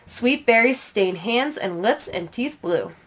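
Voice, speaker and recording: A woman reading a children's story aloud in a measured, expressive storytelling manner. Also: on the high side. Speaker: read-aloud reader.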